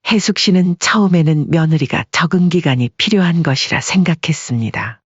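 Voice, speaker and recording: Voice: medium pitch, moderate pace, husky, no echo, muffled recording. A narrator reading a scripted family story in a storytelling style.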